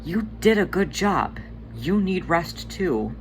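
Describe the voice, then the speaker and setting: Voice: medium pitch, expressive, slow, slight background noise, voice pushed up. A performer voicing a laid-back, protective male cat who teases and reassures his brother, in a storybook fan reading. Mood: concerned, firm.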